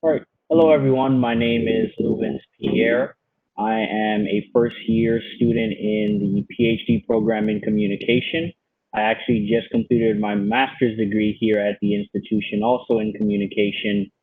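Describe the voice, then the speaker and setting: A man's voice: fairly low pitch, fairly even intonation, moderate pace, dry recording. A young man, a graduate student who grew up in Miami, introducing himself earnestly on a panel over a video call. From Bahamas and Haiti, raised in Miami. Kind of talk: prepared self-introduction. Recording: dry, phone-like video call audio.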